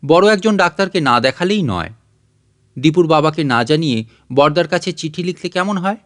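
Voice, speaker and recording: Higher-voiced man narrating a story. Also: lively intonation, normal speed. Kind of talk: scripted audiobook narration.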